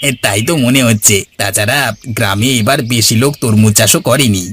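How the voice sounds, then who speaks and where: A man's voice: lowish voice, brisk, fairly bright tone, a little hiss or hum, close to an everyday voice. A man voicing a hardworking, friendly village farmer who speaks colloquially in a cartoon. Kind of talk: dubbed cartoon dialogue. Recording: music under the voice.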